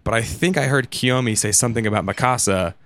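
A man in a casual group discussion, thinking aloud in a halting way and repeating words as he goes. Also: mid-range voice, fairly bright tone. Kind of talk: casual panel chat.

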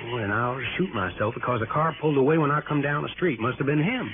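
A man's voice: medium pitch, quick pace, natural voice. An actor playing a young small-town policeman in a 1960s radio drama, eager, folksy and very polite. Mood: puzzled, helpful. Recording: noisy, tinny old radio broadcast.

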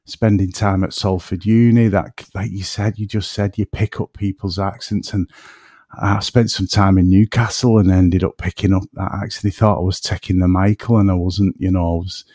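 A man's voice: sits low, little pitch movement, moderate pace, darkish tone, no room sound, clean studio sound. A man from North West England with a northern English accent, from between Manchester and Liverpool, chatting casually as a podcast guest and rambling off topic. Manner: rambling, self-deprecating, drifts off topic. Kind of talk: casual chat.

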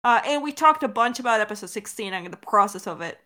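Bright-voiced young woman, chatting casually. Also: medium pitch, lively intonation, fast. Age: young adult. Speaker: podcast creator, co-host of a Q&A. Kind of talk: casual chat. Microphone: recorded over Discord call. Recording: online voice call.

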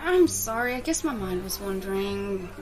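A woman's voice: mid-range voice, lively intonation, normal speed, music in the background, natural voice, low-quality recording. A woman playing an anxious, evasive fiancée in an audio drama, speaking hesitantly and guardedly. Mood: distracted. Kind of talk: scripted audio drama acting.

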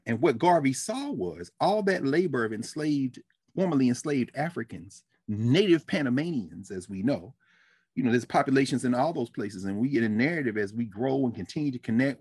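Animated man with a husky voice. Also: dry recording, clean studio sound. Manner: animated storyteller, laughs easily, ranges from loud bursts to low asides. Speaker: podcast co-host. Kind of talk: casual conversational discussion.

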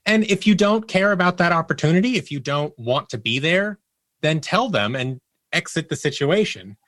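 A man's voice: on the high side, expressive, normal speed, a bit bright, dry recording, studio quality. A man speaking as an interview guest and audio producer, telling stories casually and candidly and acting out bits of quoted dialogue. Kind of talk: casual conversational storytelling.

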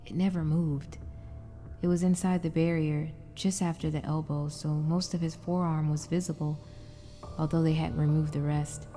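A woman's voice: deep voice, little pitch movement, normal speed, mellow, faint music behind, close to an everyday voice. A woman playing an anguished wife trapped in frozen time, in an emotional audio drama with narration and dialogue. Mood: somber reflective.

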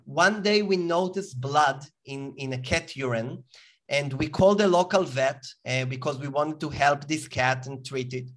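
A man from Israel with an Israeli accent, a company founder explaining his product as a guest in an interview, pausing with fillers. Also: on the high side, fairly bright tone. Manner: explanatory, halting with fillers and restarts. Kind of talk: interview answers.

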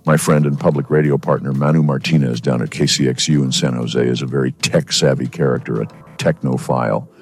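A man's voice: rumbling low, fairly even intonation, a little fast, hardly any pauses, breathy edge, faint music behind. A male radio co-host with a stuffy cold, presenting a scripted segment in a wry, dramatic way.

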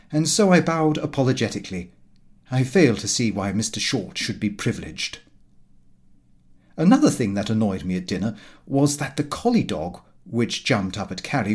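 A man reading a comic first-person diary aloud in an expressive, fussy storytelling manner. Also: fairly low pitch, husky. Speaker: audiobook narrator.

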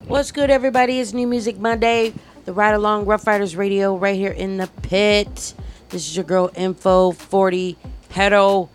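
A woman with a raspy voice hosting a casual radio talk show, lively and teasing, full of questions. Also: mid-range voice, normal speed, soft background music. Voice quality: raspy today. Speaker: show host.

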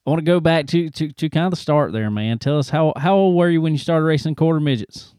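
A man's voice: on the high side, quick pace, darkish tone, clear, resonant tone, no echo, clean studio sound. A man hosting a podcast, who asks his guest questions in a friendly, chatty way with lots of fillers. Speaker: podcast host. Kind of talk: casual interview chat.

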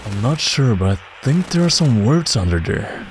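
An actor playing a calm audio expert in an audio drama, talking in a hesitant, measured, thoughtful way. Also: male voice, medium pitch, a little hiss or hum, rough recording. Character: calm male audio expert examining a mysterious recording. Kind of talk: naturalistic audio drama dialogue.

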